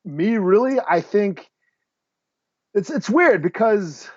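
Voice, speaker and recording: A man with a fairly high voice chats casually as a podcast co-host, swearing and halting as he thinks aloud. The recording is very dry and slightly muffled. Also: expressive, dry recording.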